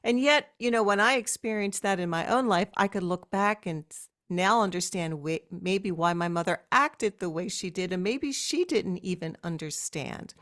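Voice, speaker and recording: A woman's voice: medium pitch, moderate pace, hardly any pauses, fairly bright tone. A woman hosting an interview who responds to her guest reflectively, affirming what he says and drawing on her own experience. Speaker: podcast host. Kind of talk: conversational interview.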